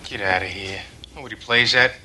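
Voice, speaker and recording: An actor playing a cocky, hot-headed young pool hustler with an American accent, intense, brash and defensive, in a television drama. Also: male voice, lowish voice, brisk, background noise, natural voice. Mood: brash, challenging. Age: young adult. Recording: television soundtrack with music behind.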